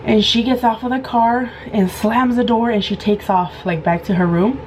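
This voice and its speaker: A woman in her thirties with a hoarse, sore throat, telling a casual storytime vlog and acting out the quoted lines. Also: on the high side, moderate pace, a little hiss or hum, poor audio. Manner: animated, acts out quoted lines. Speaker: vlogger.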